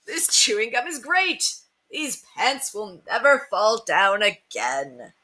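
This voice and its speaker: A woman's voice: a little high, big pitch swings, slow, pushed and bright, heavily acted. A woman voicing a grumpy old male mouse from a children's storybook, with dramatic, exclaiming cries. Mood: delighted.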